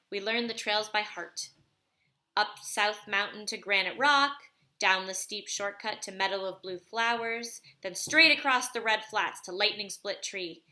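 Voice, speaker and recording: A woman's voice: mid-range voice, sharp tone. A woman reading a children's story aloud expressively. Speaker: storybook reader.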